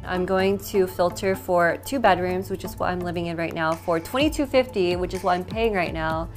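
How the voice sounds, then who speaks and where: A woman's voice: lowish voice, moderate pace. A woman in her twenties, a renter in a news piece, speaking in a matter-of-fact way. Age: 20s. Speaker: interviewed renter. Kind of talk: interview remarks. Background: soft music.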